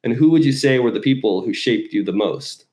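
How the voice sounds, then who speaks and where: A man's voice: medium pitch, expressive, fairly quick, darkish tone. A man hosting a podcast interview, friendly and encouraging, often repeating words as he starts a sentence. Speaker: podcast host. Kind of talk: casual interview.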